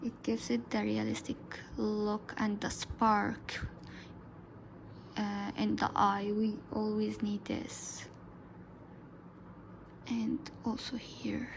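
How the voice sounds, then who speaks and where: Soft-spoken woman, Arabic native, whispery, slow. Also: mid-range voice, flat delivery, slight background noise, low-quality recording. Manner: soft, often whispered narration. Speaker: art tutor. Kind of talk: drawing tutorial voice-over.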